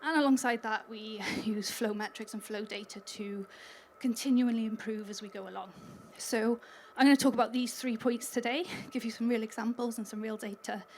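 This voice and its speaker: Welsh woman, conference speaker, slightly higher pitch, upbeat and chatty, light room ambience. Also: slight background noise. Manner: upbeat, chatty, laughs between points. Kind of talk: conference talk. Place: conference hall stage.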